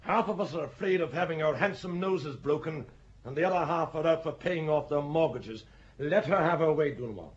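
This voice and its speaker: An actor playing a blunt, cynical army captain in a radio play, wry in manner. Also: male voice, a little high, normal speed, close to an everyday voice. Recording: dull lo-fi recording.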